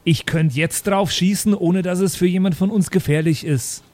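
A man's voice: sits high, moderate pace, darkish tone, no room sound. A man speaking casually as a player in an improvised tabletop role-playing podcast. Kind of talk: casual improvised game chat. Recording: music bed behind the voice. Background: background music.

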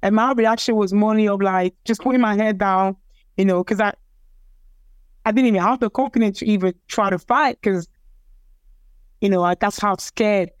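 A young woman from Cameroon, French her first language, tells her story in a relaxed, hesitant way, circling back and repeating words. Her voice is smooth and clear, at a middle pitch. The sound is close and dry. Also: normal speed, long pauses, no room sound. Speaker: interviewee, athlete. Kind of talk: casual personal storytelling.

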